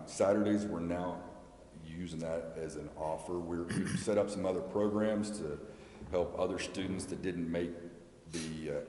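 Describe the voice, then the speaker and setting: An American man answering questions at a public meeting as a school representative, explaining haltingly and repeating words. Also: deep voice, mellow. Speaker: school representative addressing a city council. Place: large indoor council chamber. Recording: echoey meeting hall with background noise.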